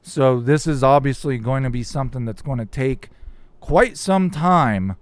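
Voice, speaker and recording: A man's voice: medium pitch, a little slow, dry recording. Guy on a live chat going off about comments, voice getting a little cracked, dry room sound. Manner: rambling, reads viewer comments aloud. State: voice starting to crack. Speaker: YouTube host reading live chat. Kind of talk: casual live-stream chat.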